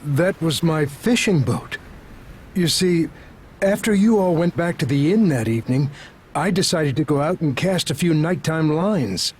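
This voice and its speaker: A gentle-mannered doctor and anxious father in a video game. His voice sits a little high for a man, and he speaks politely and earnestly, with some hesitation. A faint noise lies behind him. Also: expressive, a little slow. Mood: sheepish. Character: earnest town doctor and worried father, middle-aged man. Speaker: voice actor. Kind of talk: video game cutscene acting.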